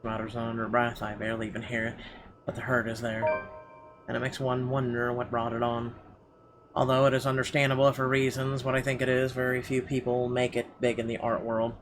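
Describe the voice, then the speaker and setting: A man playing a game on stream, reading its narration aloud haltingly and reacting casually and bluntly. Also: medium pitch, little pitch movement, over music. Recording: clearly audible background noise.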